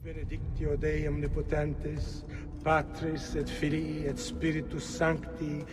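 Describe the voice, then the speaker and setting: A man playing a solemn priest giving a measured blessing in a video game cutscene. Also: fairly high pitch, completely flat delivery, long reverb tail, over loud music, natural voice. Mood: solemn, reverent.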